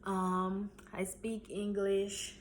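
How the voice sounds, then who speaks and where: A young woman from Jamaica chats through a storytime at a middle pitch, rambling and restarting with frequent ums. A faint hiss sits under her voice. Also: level tone, extremely slow. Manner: rambling with many ums and repeats. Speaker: vlogger. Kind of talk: casual storytime chat.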